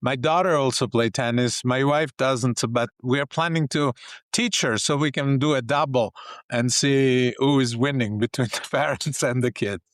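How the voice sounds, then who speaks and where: Italian-accented man, smooth and dry. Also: medium pitch, no echo. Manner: thoughtful, pausing, non-native grammar. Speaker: executive coach and professor. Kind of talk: conversational interview answers. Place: home office, video call.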